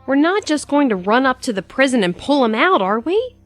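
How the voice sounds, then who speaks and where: A woman's voice: high-pitched, very animated, fairly quick, faint music behind. A woman playing a practical young adventurer in an audio drama, reasoning in a matter-of-fact way. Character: level-headed, practical young female adventurer.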